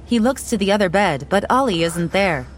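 A woman reading scripted audio description in a calm, even, precise way. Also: medium pitch, brisk, a little hiss or hum. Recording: voice over soundtrack music.

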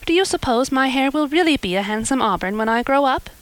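A woman's voice: high voice, lively intonation, fast, no echo, pitched above the performer's own voice. A woman voicing a gushing, dreamy orphan girl. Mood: eager, dreamy. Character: eager chattering red-haired orphan girl.

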